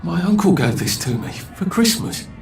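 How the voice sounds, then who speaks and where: A man's voice: a little high, very animated, normal speed, gravelly. An actor playing an anxious, sardonic English man on the run in a video game, muttering to himself in politely exasperated tones. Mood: indignant. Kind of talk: video game character acting.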